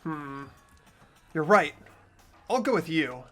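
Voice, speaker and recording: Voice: mid-range voice, expressive, slow, fairly bright tone, soft background music. A performer voicing an earnest, hesitant teenage boy who narrates a video game story reflectively. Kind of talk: scripted game narration and dialogue, amateur voice acting.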